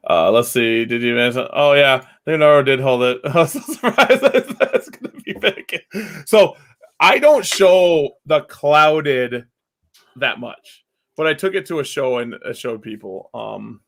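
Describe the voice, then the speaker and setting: A man hosting a casual online chat, talking loudly and rambling, laughing often, with his mic set about two feet away. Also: medium pitch, a little slow.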